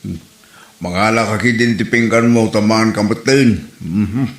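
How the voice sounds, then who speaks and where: A man's voice: lowish voice, unhurried, close to an everyday voice. Echoey, grumbling husband played by a man. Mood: casual. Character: defensive, hungry husband and father. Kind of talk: amateur comedy drama acting. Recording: roomy, echoing room sound.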